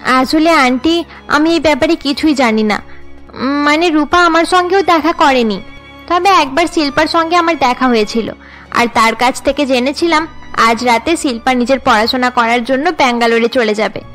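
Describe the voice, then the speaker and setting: Woman as a high-voiced, evasive cartoon friend. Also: normal speed, a bit bright, faint music behind. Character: evasive, chatty young woman covering for friends. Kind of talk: Bengali cartoon dialogue.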